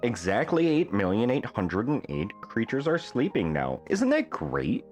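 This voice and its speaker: A man reading a rhyming children's bedtime story aloud in a playful, theatrical manner with deliberate phrasing. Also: medium pitch, wildly swooping pitch, darkish tone, faint music behind. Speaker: storybook reader.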